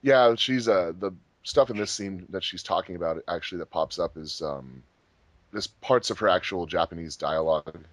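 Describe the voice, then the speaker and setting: A man's voice: deep voice, moderate pace, a bit bright, dry recording. A man hosting a group commentary track in a casual, chatty style. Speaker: host of a fan dub commentary track.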